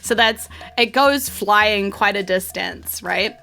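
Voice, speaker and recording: A woman's voice: medium pitch, takes it slowly, vocal fry, pushed and bright, soft background music. A woman improvising as game master, conversational and encouraging. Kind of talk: improvised tabletop game narration.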